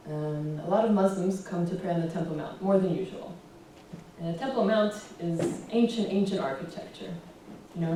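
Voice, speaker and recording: A young American woman giving a relaxed public talk with slides, often pausing with "um". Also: lowish voice. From United States. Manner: relaxed, fills pauses with ums. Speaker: guest speaker. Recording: roomy echo, distant, faint hiss.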